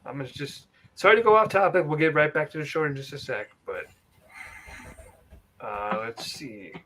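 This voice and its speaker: A man's voice: a little high, moderate pace, a bit bright, slight background noise, rough recording. A man co-hosting a wrestling podcast in loose, casual chat, rambling into side stories and apologizing for going off topic. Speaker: podcast co-host.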